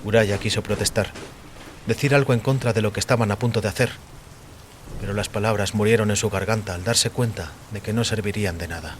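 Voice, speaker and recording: A man's voice: fairly low pitch, a little fast. A man narrating scripted audio fiction with a solemn, literary delivery. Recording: clearly noisy, rain behind voice. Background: rain.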